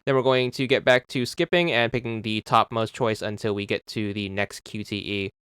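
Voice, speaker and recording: A man's voice: on the low side, fairly even intonation, moderate pace, a bit bright, clear, resonant tone, no echo. A man narrating a game achievement walkthrough, methodically repeating step-by-step instructions into a close microphone. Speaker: achievement guide host.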